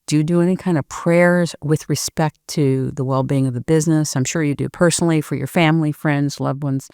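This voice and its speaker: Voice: mid-range voice, steady tone, fairly quick, a little mellow, smooth, no room sound, clean studio sound. An interviewer asking questions and speaking admiringly in long flowing sentences during a conversation.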